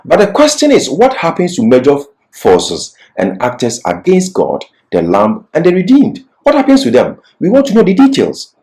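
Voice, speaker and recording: A man's voice: fairly high pitch, huge pitch swings, moderate pace, hardly any pauses, dark tone. A man giving an expository Bible lecture as a teacher, didactic and emphatic, posing questions and answering them himself.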